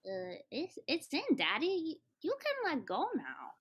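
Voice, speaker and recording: Bratty little girl, high and swooping, wheedling, for radio comedy. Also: wildly swooping pitch, measured pace, full character voice. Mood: mischievous, innocent. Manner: childish, wheedling. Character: mischievous, bratty little girl pestering her daddy. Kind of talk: old-time radio comedy acting.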